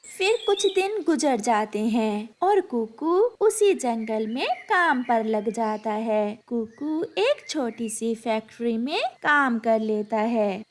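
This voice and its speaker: A woman's voice: a little high, lively intonation, slow, background noise. A woman reading scripted narration for a children's cartoon story.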